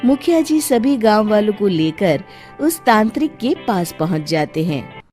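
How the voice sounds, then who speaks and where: A woman narrating a Hindi cartoon moral story in an expressive storytelling style. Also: medium pitch, unhurried, soft background music.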